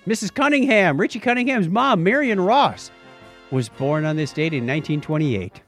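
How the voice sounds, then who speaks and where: Male podcast co-host: high voice, very animated intonation, wry casual banter over faint music. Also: normal speed. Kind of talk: casual banter, reading birthdays and news.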